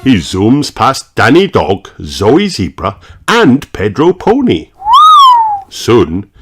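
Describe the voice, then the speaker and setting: An adult reader narrating a children's storybook in an expressive, deliberate way. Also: mid-range voice, slight background noise. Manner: expressive, deliberate read-aloud delivery.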